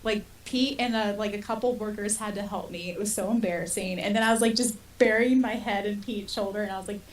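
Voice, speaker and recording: A woman's voice: mid-range voice, fairly quick. A woman in her thirties chatting casually as a podcast host, telling personal stories in a colloquial, self-deprecating way. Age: 30s. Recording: distant mic with faint hiss.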